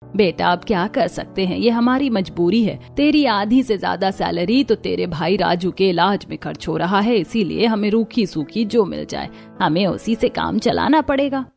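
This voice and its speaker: A woman's voice: medium pitch, expressive, moderate pace, vocal fry, darkish tone, faint music behind. A woman playing a careworn, resigned mother of a poor family in a cartoon story, earnestly explaining. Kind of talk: Hindi cartoon story voice acting.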